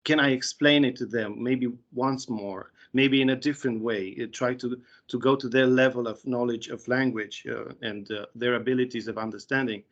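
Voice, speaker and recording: A man's voice: mid-range voice, steady tone, normal speed, hardly any pauses. A man in his thirties with a Romanian accent, an interpreter speaking hesitantly on a video call. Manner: hesitant, many fillers and restarts. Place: small room indoors. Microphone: video call webcam. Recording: dull lo-fi video call audio.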